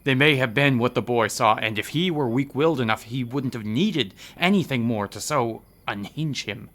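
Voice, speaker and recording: A man's voice: mid-range voice, normal speed, a bit bright, natural voice. An actor playing an earnest, argumentative intellectual man obsessed with eerie legends in a radio horror drama. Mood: ominous, insistent.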